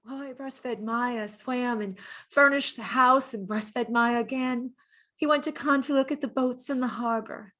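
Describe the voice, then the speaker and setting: An actress playing a gentle, wistful dead mistress of a painter, reminiscing in a measured theatrical monologue. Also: female voice, on the high side, steady tone. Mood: fond, nostalgic.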